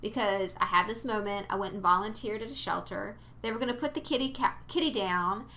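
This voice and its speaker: A woman's voice: mid-range voice, fairly quick, bright, forceful tone. A woman teaching 3D modeling in a casual screen-recorded tutorial, chatty and rambling with playful exclamations, a bit sniffly. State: sniffly from allergies.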